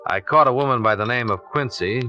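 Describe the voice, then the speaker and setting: An actor playing a cool, methodical insurance investigator in a 1950s radio drama, clipped and matter-of-fact. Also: male voice, medium pitch, brisk, bright, forceful tone, lightly acted. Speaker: lead actor and narrator. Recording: dull vintage radio recording, music.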